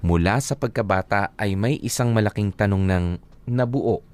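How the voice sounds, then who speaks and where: A man's voice: on the low side, moderate pace, a little mellow. A man with a Filipino accent narrating a personal letter in first person for a radio drama.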